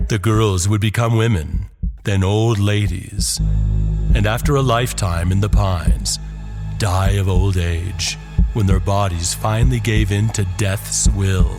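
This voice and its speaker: A man narrating a scripted horror story, with dramatic pauses and drawn-out phrases. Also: lowish voice, over music.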